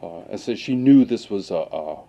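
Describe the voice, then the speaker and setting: A man speaking as a police lieutenant interviewed on the news, answering in a measured, cautious, hesitant way. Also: fairly low pitch, expressive, warm tone, a little hiss or hum. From Pakistan, moved to the US at age seven.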